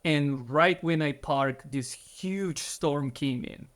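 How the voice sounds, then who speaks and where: A man's voice: on the high side, takes it slowly, a bit bright. A man from Bulgaria, in his thirties, earnestly telling his story as an interview guest, with slightly phrasing.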